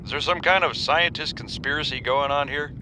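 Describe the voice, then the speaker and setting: A man's voice: on the high side, fairly quick, noisy background, natural voice. An actor playing a wisecracking, suspicious outpost crewman in a game audio log, with a sardonic manner. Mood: teasing.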